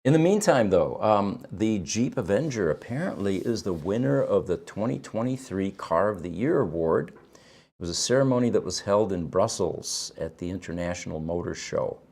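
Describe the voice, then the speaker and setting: A middle-aged man whose first language is German, hosting a casual morning show from a studio, halting with many ums. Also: sits low, expressive, creaky, a little mellow, slightly rough. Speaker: show host. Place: studio set in front of a backdrop.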